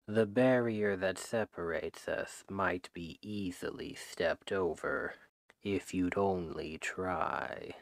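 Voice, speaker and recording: A man playing a suave, menacing, possessive demon king who woos his captive with slow, deliberate, softly condescending speech in an ASMR roleplay, close on the microphone. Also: low-pitched, creaky, no echo, exaggerated voice. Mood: calm, possessive. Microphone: close microphone, dry.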